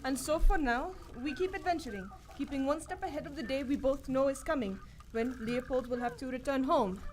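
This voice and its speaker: A woman's voice: sits high, fairly quick, background noise, close to an everyday voice. A woman playing an earnest young adventuress in a dramatic live radio serial. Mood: earnest, wistful. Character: Manjula, earnest young adventuress in love with a prince. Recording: music behind a live stage performance.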